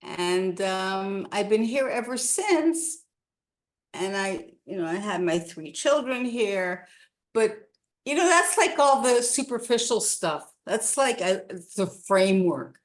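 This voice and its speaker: Slow-talking woman in her sixties. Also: mid-range voice, lively intonation. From Canada. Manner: slow, rambling, with many restarts. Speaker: guest. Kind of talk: casual interview chat.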